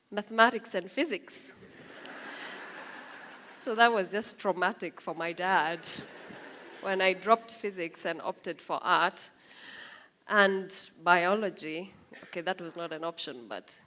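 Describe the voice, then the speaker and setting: A woman in her thirties from Kenya with a Kenyan accent, telling her life story in a lively talk with asides and acted-out quotes. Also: mid-range voice. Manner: lively, laughing, acts out quoted voices. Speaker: speaker giving a talk.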